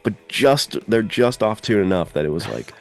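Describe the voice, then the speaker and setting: A man's voice: fairly low pitch, lively intonation, moderate pace, creaky, faint music behind. A man co-hosting a podcast in casual chat, with an informal, effusive, enthusiastic way of talking. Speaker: podcast co-host and fiction writer.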